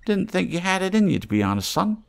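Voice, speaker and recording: Excitable, high-voiced old tramp trader. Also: male voice, quick pace, vocal fry, smooth, full character voice. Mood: indignant, excitable. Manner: excitable, salty, rambling slangy patter. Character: eccentric, wheedling old tramp trader, funny little man.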